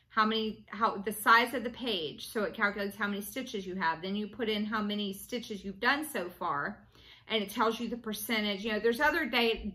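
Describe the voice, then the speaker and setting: Thirtysomething lady talking to her camera at home, bright voice, rambly with lots of ums. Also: mid-range voice. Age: 30s. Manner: chatty, rambling, with many ums and restarts. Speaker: cross-stitch vlogger. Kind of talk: casual chat to camera. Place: small room indoors at home.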